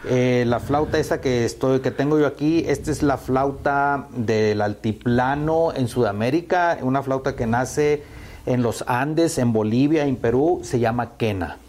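A man's voice: mid-range voice, unhurried, darkish tone, a little hiss or hum. A Mexican man in his fifties, a musician answering interview questions in a hesitant way, full of fillers and repeated words. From Sonora, Mexico. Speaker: musician guest.

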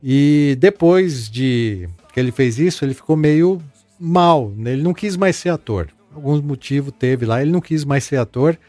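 A man's voice: fairly high pitch, moderate pace, dry recording, faint music behind. Chatty male podcast host, dry sound. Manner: relaxed, chatty, with many fillers and repeated words. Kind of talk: casual podcast chat, reading listener messages aloud.

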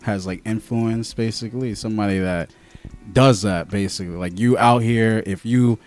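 A man's voice: fairly low pitch, a little mellow, faint music behind. Laid-back man hosting a chat. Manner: laid-back, halting, many restarts and "basically". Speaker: podcast host. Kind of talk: casual interview chat.

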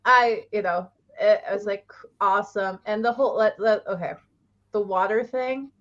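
A woman's voice: medium pitch, moderate pace, highs cut off. A woman co-hosting a casual book club chat, rambling through thoughts with many fillers and frequent laughter.

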